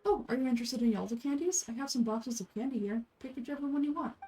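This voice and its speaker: A woman's voice: high-pitched, fast talker, dark tone, lightly acted. A woman voicing a friendly female candy vendor in a fantasy game, chatty and explanatory. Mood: friendly, inviting.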